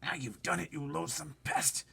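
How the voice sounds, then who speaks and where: A man's voice: fairly high pitch, steady tone, normal speed, vocal fry, sharp tone, husky, pitched above the performer's own voice, heavily acted. A man voicing a timid, fretful earthworm who scolds in a hissing whisper. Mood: scolding.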